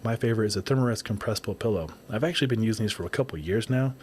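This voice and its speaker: A man hosting a podcast whose voice is raspy from a windy day of shooting, talking casually in a rambling, upbeat way. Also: on the low side, very fast, a little mellow. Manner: rambling, upbeat, self-correcting. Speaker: podcast host. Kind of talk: casual solo podcast update.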